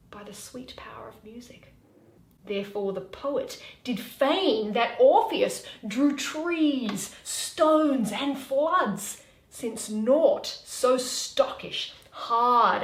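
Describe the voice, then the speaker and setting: A woman playing a tender, playful young man in love, reading Shakespearean verse in a lyrical, measured way with breathy line endings. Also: fairly high pitch, roomy sound. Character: tender, playful young male lover musing poetically by moonlight.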